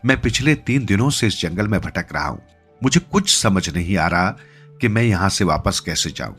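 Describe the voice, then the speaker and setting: A man's voice: sits low, animated, normal speed, fairly bright tone, slightly rough, heavily acted. A man voicing an earnest, pleading merchant lost in the forest in a narrated storybook tale. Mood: apologetic, pleading. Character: male merchant, lost, apologetic, kind-hearted and trusting. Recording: music behind the voice.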